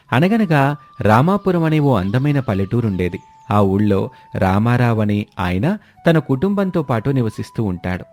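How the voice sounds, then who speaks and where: A man's voice: mid-range voice, darkish tone. A man narrating a scripted moral story as the storyteller. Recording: music behind the voice.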